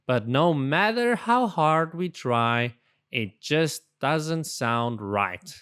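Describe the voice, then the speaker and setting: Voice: mid-range voice, lively intonation, extremely slow, a bit bright, smooth. A storybook reader voicing childlike little alphabet letters, earnest, exclaiming and easily upset. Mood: frustrated, upset. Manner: plaintive, exclaiming.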